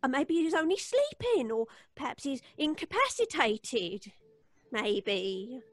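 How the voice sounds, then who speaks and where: Radio play housekeeper: deferential and folksy, superstitious, high and swooping delivery, dry studio sound. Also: female voice, sing-song, slow pace, no room sound, full character voice. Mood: wary, humouring. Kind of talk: old-time radio suspense acting.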